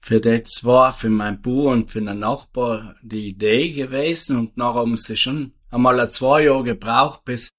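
A man in his seventies with a South Tyrolean German accent, a retired farmer who answers interview questions in a rambling, halting way. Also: mid-range voice, fairly even intonation. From South Tyrol, Italy. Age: 70s. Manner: rambling, halting, with many restarts and fillers.

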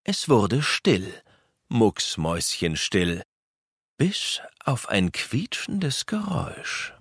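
Unhurried low male storyteller. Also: wildly swooping pitch, fairly bright tone, slightly rough, dry recording, studio quality. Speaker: narrator. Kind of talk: scripted storytelling narration for a children's audio drama.

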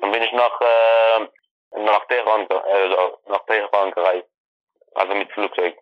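A man who grew up in Iran, answering interview questions in halting speech full of restarts, heard over a video call from his family's apartment. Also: lowish voice, little pitch movement, dry recording. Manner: halting, restarts and self-corrections. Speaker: interview guest. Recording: dry lo-fi video call audio.

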